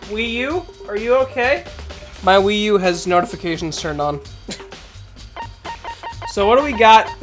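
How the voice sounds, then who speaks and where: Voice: mid-range voice, fairly bright tone. Streamer, getting over a cold, nasal; loose chatty delivery, faint background music. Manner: rambling, chatty, laughs often, talks to the chat. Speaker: Twitch streamer playing a video game. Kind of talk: casual live-stream chat with viewers.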